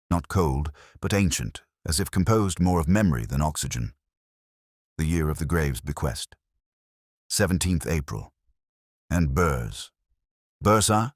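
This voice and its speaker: A man narrating a scripted first-person detective story in a measured, reflective, literary manner. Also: a bass voice, slightly rough, dry recording, clean studio sound.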